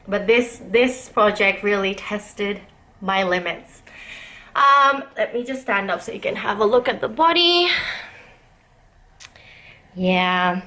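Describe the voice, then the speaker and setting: Bright, chatty young woman from Nepal. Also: medium pitch. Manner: chatty, giggly, self-deprecating. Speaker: knitting podcast host. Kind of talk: casual video podcast chat.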